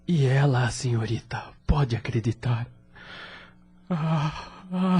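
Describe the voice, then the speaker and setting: A desolate Brazilian widower in a radio-style audio drama. He speaks slowly, in a muted, slightly husky voice, formal and hesitant in his sorrow. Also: high voice, warm tone, full character voice. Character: grieving widower, courteous, hesitant, desolate and lonely. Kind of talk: Portuguese-language audio drama acting.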